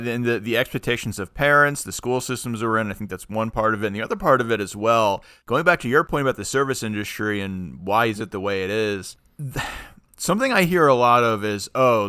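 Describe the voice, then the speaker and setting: A man's voice: medium pitch, bright, forceful tone, clean tone, no echo, studio quality. A man co-hosting a podcast in casual, opinionated chat, speaking emphatically and argumentatively and often digressing. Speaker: podcast co-host.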